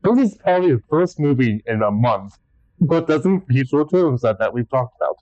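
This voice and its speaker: A man's voice: mid-range voice, expressive, brisk, darkish tone, no echo. A young man co-hosting a casual podcast chat, easygoing and a bit hesitant. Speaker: podcast co-host. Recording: dry, dull lo-fi sound.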